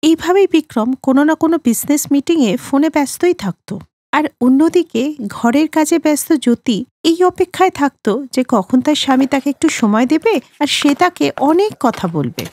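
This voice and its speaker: A woman's voice: high-pitched, lively intonation, moderate pace, darkish tone, dry recording. A woman reading scripted narration for a cartoon family story as its storyteller.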